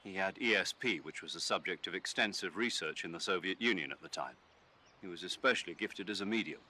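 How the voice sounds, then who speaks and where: Low, forceful military commander. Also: male voice, full character voice. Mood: grave, explanatory. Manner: measured, expository, formal. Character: earnest, formal older male military commander giving briefings. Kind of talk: video game voice acting.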